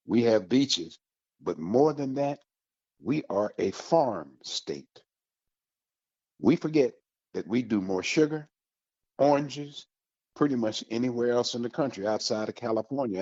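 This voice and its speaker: A man with a low, husky voice hosts a community radio show, selling the area to listeners in a folksy, upbeat way. The sound is dry and a little muffled, like a lo-fi broadcast. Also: darkish tone, dry recording. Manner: folksy, upbeat promotional delivery. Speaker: radio host.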